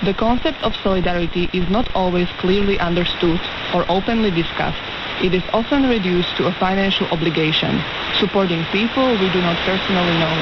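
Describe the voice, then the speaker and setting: A woman presents a scripted radio feature in a fairly low voice. Heavy hiss washes over her, and the shortwave reception dulls the sound. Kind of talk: scripted narration. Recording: hissy, noisy, muffled shortwave radio reception.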